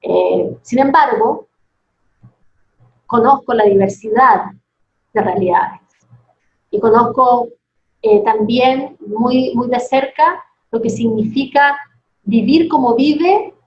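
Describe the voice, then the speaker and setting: A woman's voice: fairly high pitch, slow, long pauses, a little hiss or hum. A woman from southern Chile with a Chilean accent, answering an interview in a thoughtful way and often restarting her phrases. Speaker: interview guest. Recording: dull lo-fi video call audio.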